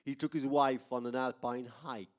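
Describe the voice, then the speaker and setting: A man playing a gleefully macabre horror radio host in an old-time style, with a theatrical, drawn-out, mock-sinister delivery. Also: medium pitch, level tone, natural voice.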